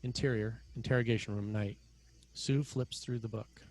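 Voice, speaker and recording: Guy narrating a movie script, scene headings and action lines, lowish voice. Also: steady tone, normal speed, darkish tone, rough recording. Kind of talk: scripted narration of screenplay stage directions.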